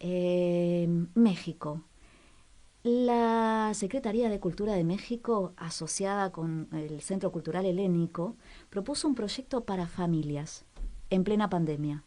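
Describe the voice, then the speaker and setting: A woman co-hosting a casual radio program, talking in a relaxed way with hesitant fillers. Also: on the low side, measured pace.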